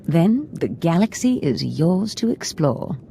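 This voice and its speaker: An actress playing a scheming, commanding female dark lord in a video game, composed, measured and authoritative. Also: on the low side, sing-song, gravelly, slight background noise, exaggerated voice. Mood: pleased, commanding. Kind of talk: video game character acting.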